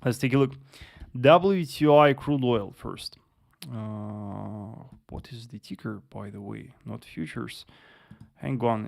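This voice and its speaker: Guy doing a live forex stream, gravelly low voice, lots of yes and uh, keeps saying traders. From Russia. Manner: repeats words, addresses viewers as traders, many yes and uh fillers. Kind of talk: live market analysis with chat Q&A.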